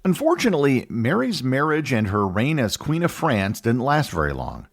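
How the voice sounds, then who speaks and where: A man hosting a history podcast, reading a scripted narration in a measured storytelling manner. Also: huge pitch swings. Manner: measured storytelling with wide pitch swings. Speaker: podcast host.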